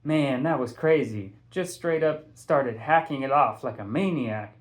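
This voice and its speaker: Man voicing a chatty, excitable schoolgirl. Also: mid-range voice, a little slow, lightly acted. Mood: amused. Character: chatty, self-mocking schoolgirl telling reckless stories.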